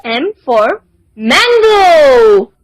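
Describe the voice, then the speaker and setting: A woman narrating a children's alphabet lesson in a deliberate sing-song manner, enunciating each word. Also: very high-pitched, very animated, slight background noise.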